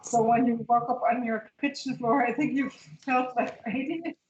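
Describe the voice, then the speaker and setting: A woman's voice: fairly high pitch, steady tone, normal speed, a little mellow, highs cut off. A woman in her fifties chatting casually, often repeating words as she searches for the next one. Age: 50s. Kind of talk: casual chat. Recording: echoey room with light background noise.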